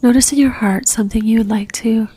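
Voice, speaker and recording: A woman leading a guided religious meditation in a soft, near-whispered voice, gentle and calm, leaving long pauses. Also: on the high side, breathy edge, rough recording.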